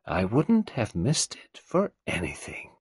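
A man's voice: lowish voice, huge pitch swings, slow pace, a little mellow, slightly rough, dry recording, studio quality, full character voice. A man voicing a malicious, domineering old mother who taunts her family with a wheezy, venomous edge. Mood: taunting.

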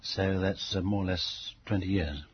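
A middle-aged man giving reflective interview answers as a teacher, speaking haltingly with frequent pauses. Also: low-pitched, fairly even intonation, husky. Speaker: English language teacher being interviewed. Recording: dull lo-fi tape, faint background noise.

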